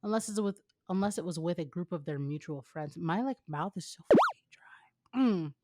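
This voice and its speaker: A woman's voice: fairly low pitch, big pitch swings, normal speed, darkish tone. A woman co-hosting, reading fan fiction aloud casually and breaking off for wry, colloquial commentary.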